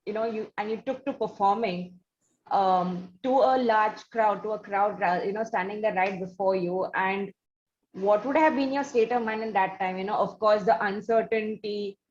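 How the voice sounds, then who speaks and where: A woman with an Indian accent hosting a conversational interview, asking long, halting questions on lo-fi audio. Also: mid-range voice, darkish tone. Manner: wordy, halting questions with repeats. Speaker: interview host. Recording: dull lo-fi audio with faint noise.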